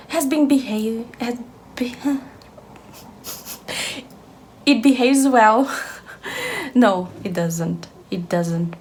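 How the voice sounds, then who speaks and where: A woman's voice: medium pitch, a little hiss or hum. Chatty woman. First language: Portuguese. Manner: chatty, giggly, many fillers and restarts. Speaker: beauty vlogger. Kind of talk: casual makeup tutorial. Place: home, at a lit mirror.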